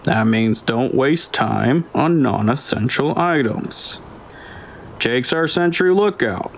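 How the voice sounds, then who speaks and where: A man voicing an aged, stern and cautious crew leader giving deliberate, commanding instructions in an audiobook drama. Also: medium pitch, animated, gravelly, slight background noise. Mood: firm, briefing.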